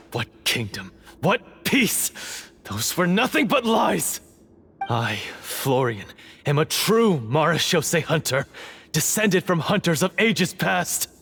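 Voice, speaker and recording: A man's voice: a little high, very animated, slow, vocal fry, fairly bright tone, a little hiss or hum, exaggerated voice. A man voicing a bitter, treacherous guard in an anime-style game, with a sneering, theatrical delivery. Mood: scornful, proud. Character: bitter, scornful treacherous male guard and swordsman.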